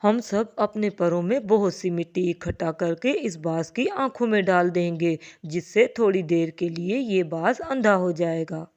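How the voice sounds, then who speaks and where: A woman's voice: lowish voice, unhurried, few pauses, a little mellow, highs cut off, close to an everyday voice. Firm pigeon friend played by a woman. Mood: resolved. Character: male pigeon, practical friend of a mother bird.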